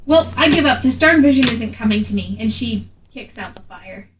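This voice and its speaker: A woman's voice: a little high, lively intonation, normal speed, close to an everyday voice. A woman playing a proud, easily exasperated retired seer and storyteller in a tabletop game, speaking emphatically and indignantly. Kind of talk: improvised tabletop roleplay in character. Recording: echoey room, distant, faint noise.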